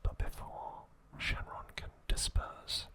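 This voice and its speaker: Male, soft breathy whisper, slow pace, flat delivery, ASMR scripted narration. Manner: slow, deliberate, short broken phrases. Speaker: ASMR host narrating anime trivia.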